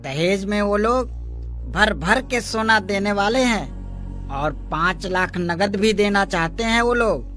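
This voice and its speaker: A man's voice: high voice, takes it slowly, fairly bright tone, faint music behind. A man playing an eager, coaxing village priest who makes matches, in a moral story. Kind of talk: Hindi moral story voice acting.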